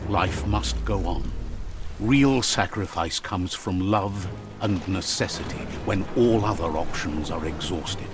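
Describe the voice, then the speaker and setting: A calm, sage game narrator. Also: male voice, fairly low pitch. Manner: slow, measured, proverb-like sayings. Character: calm wise male narrator of a game world. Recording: game audio with clear music behind.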